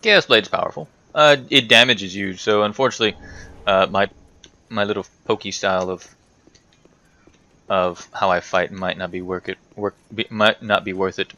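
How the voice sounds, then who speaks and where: A man commentates casually on a video game as he plays it. His voice sits a little low, yet it has a bright, forceful edge. He rambles along, muttering to himself and addressing the game's characters as he goes. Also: normal speed. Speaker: gaming video host.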